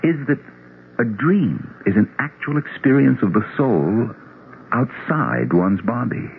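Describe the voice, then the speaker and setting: An actor playing a grieving, obsessed psychiatrist in a radio drama, explaining himself with deliberate, halting intensity. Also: male voice, medium pitch, big pitch swings, gravelly. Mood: fervent, lecturing. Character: grieving psychiatrist, earnest, obsessed with his theory. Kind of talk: 1970s radio drama acting. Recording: tinny, noisy old radio broadcast.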